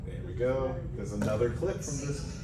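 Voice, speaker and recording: A man's voice: medium pitch, moderate pace. A man hosting a live panel with casual, conversational commentary. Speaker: panel host. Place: indoor convention panel room. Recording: echoey, noisy convention room, distant mic.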